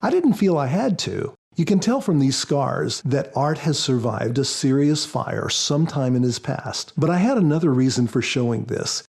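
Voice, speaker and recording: A man speaking as a guest author in a podcast interview, explaining in a measured, prepared style. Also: mid-range voice, sing-song, creaky, a little mellow.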